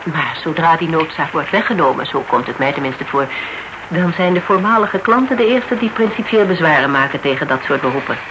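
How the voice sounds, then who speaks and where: An actress in a radio drama playing a composed, persistent professional woman with a formal, measured, businesslike manner. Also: low voice, animated. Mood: cool, formal. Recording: noisy radio drama recording.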